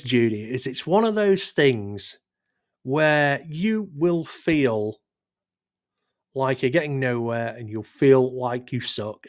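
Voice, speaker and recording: A man hosting a live stream with a sore throat, chatting loosely with his viewers in a rambling, self-deprecating way and stumbling over his words. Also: medium pitch. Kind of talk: casual chat reading live chat.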